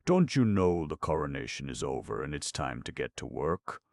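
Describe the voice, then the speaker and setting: A man's voice: very deep voice, big pitch swings, normal speed, vocal fry, a little mellow, no room sound, studio quality, full character voice. A man voicing a cheerful, bossy ragman who chuckles and speaks pompously. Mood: calm. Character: cheerful, gleeful, bossy male chief scrapper.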